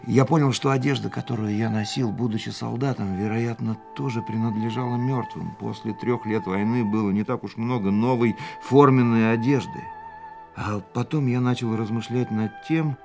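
An actor in a radio drama playing a weary, haunted war veteran of about forty, reflective and measured, with brooding pauses. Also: male voice, medium pitch, gravelly, a little mellow, lightly acted. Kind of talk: radio drama acting, dialogue and monologue. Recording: studio radio play with music behind.

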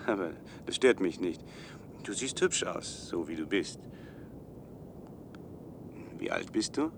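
Awkward young researcher, lower-pitched, earnest, hesitant, sci-fi radio play, noisy rumbling recording. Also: male voice, sing-song, slow, vocal fry, long pauses, heavily acted. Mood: gentle, cautious. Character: awkward young male biochemistry doctoral student in protective suit. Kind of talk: German science-fiction radio drama acting.

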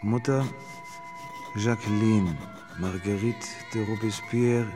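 Solemn radio-play cleric, extremely low register, unhurried formal delivery. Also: female voice, fairly even intonation. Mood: formal, ceremonial. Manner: slow, ceremonial, formal recitation. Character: solemn cleric chanting blessings and reading a birth register. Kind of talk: radio drama acting. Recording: music behind the voice.

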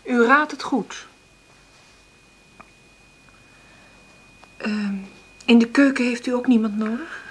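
A woman playing a middle-aged, hard-up mother in a naturalistic radio drama, understated and hesitant, with a husky, breathy voice. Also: fairly high pitch, moderate pace. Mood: hesitant, pleading. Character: hard-up, dreamy single mother, gentle but stubbornly hopeful.

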